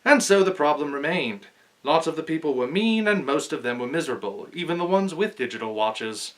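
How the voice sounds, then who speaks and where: A man hosting and reading aloud in an expressive, theatrical, articulate way, as if to his viewers. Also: fairly high pitch, a little fast. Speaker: vlog host reading aloud from a novel. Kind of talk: book read-aloud with casual address to viewers.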